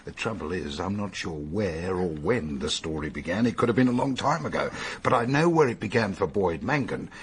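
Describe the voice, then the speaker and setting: A probing male investigator in a radio drama, recounting a case in a conversational way. His voice is deep and very husky, with lively intonation. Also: a little fast, few pauses, exaggerated voice. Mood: measured recounting. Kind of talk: radio mystery drama acting.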